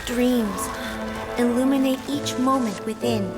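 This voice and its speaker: A serene, poetic lady over loud music. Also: a little high, takes it slowly, in a large hall. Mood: solemn, serene. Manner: poetic, formal, tender. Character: gentle, serene woman bidding a loving farewell. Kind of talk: video game cutscene voice acting. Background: prominent music.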